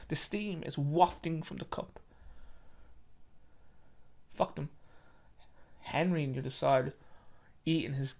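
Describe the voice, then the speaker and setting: A person with an Irish accent narrating a short story, with a halting, self-correcting delivery. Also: mid-range voice, creaky, a little mellow.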